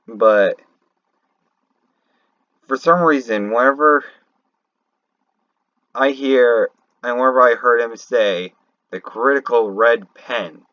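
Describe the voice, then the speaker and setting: A man with a slightly low voice rambles through unscripted game commentary. He takes his time, halting and correcting himself as he thinks aloud. The recording is dull and lo-fi. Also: a bit bright. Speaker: fan commentator on a video game. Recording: roomy, dull lo-fi home recording.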